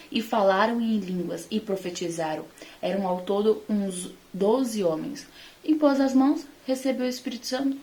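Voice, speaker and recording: A Brazilian woman teaching the Bible informally to online followers, conversational and emphatic in her delivery. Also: a little high.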